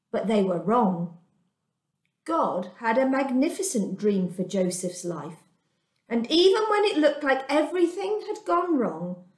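A woman in her fifties reading a children's storybook aloud in a deliberate, expressive way, recorded indoors in a room at home. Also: fairly high pitch. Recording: echoey domestic room.

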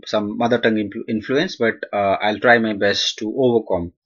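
A man's voice: on the low side, fairly even intonation, normal speed, fairly bright tone, highs cut off. Hesitant Indian-accented male instructor. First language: not English. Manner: hesitant, many "uh" fillers and restarts. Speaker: analytics instructor. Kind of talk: recorded Q&A lecture over slides.

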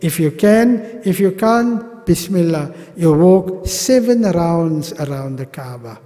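A middle-aged South African man teaching a pilgrimage class at a mosque podium, animated and gesturing, with humorous asides. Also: fairly high pitch, takes it slowly. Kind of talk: religious class lecture. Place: large mosque hall. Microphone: gooseneck podium mic. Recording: long reverb of a mosque hall.

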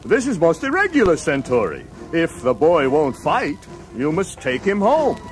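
Wildly swooping, fussy lizard alien. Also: male voice, a little high, huge pitch swings, moderate pace, faint music behind. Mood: disapproving. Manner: formal, fussy. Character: proper, disapproving lizard-like alien navigator. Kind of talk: children's read-along storybook acting.

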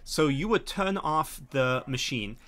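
A middle-aged man running a tabletop game as game master, narrating haltingly with frequent pauses over a video call. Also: fairly high pitch, expressive, fairly bright tone. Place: home room, indoors.

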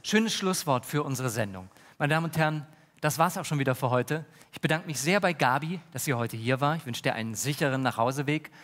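A man in his thirties playing an earnest, polite talk-show host with a formal, measured manner. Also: fairly high pitch, animated, a bit bright. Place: large brick-walled indoor stage. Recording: reverberant theatre hall.

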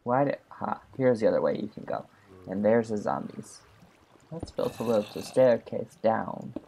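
A voice doing casual commentary while playing a video game, chatty and excitable, talking both to itself and to the viewers. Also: mid-range voice, moderate pace, vocal fry, a little hiss or hum. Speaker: gameplay commentator. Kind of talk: casual let's play commentary.

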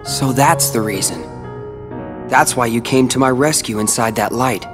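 A man's voice: medium pitch, moderate pace, big-room sound, music in the background, close to an everyday voice. An actor playing a brooding, determined teenage boy in a video game, with a cool, guarded, moody delivery. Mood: resolute, wry. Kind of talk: video game cutscene acting.

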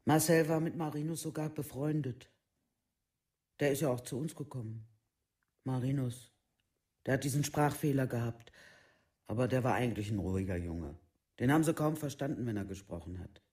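Casting: male actor as village parent, dark soft-edged tone, colloquial and halting, documentary radio drama. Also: mid-range voice, natural voice. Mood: resigned. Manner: colloquial, halting, short sentences. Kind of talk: documentary radio play monologue.

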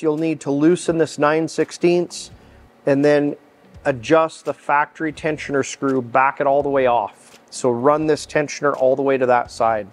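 Higher-pitched middle-aged North American man, instructing. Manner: explanatory, step-by-step. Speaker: mechanic instructor. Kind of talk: informal hands-on how-to instruction. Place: large indoor workshop.

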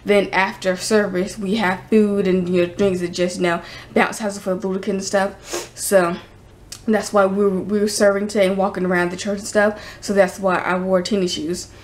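A young woman with an American accent chatting casually to the camera in an informal, halting, rambling way. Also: medium pitch, flat delivery. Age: teens to young adult. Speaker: vlogger. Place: indoors, home room. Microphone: camera at arm's length. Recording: noisy handheld camera recording with room ambience.

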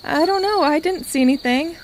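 Woman acting Maya, very high-voiced breezy friend. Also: brisk, a little hiss or hum, natural voice. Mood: offhand, teasing. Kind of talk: amateur audio drama acting.

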